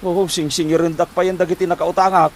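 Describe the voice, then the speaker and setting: A man's voice: high voice, level tone, fast talker. A man acting a worried, debt-ridden husband in an Ilocano comedy drama, with an Ilocano accent and a flustered, stammering, sighing manner. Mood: anxious, pleading. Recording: noticeable background noise.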